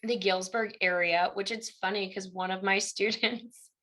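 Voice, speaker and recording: A woman's voice: medium pitch, steady tone, normal speed, bright, forceful tone. A young woman from Illinois with a Midwestern accent, a university instructor chatting as a guest in an interview and acting out the conversations she recounts. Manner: chatty storyteller who acts out quoted exchanges. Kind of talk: casual interview chat.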